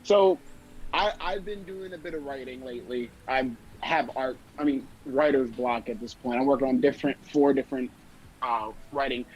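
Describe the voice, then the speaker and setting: A man calling into a livestream, chatting casually and haltingly, often restarting his sentences. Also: mid-range voice, slight background noise. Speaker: call-in guest on a livestream. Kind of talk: casual chat. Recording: dull lo-fi voice call, music behind.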